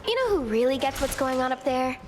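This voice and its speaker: Sly, taunting goddess of strife. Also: female voice, sits high, sing-song, a little fast, crowd noise. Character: mocking chaotic goddess of strife, female. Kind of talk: video game character dialogue. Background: game music.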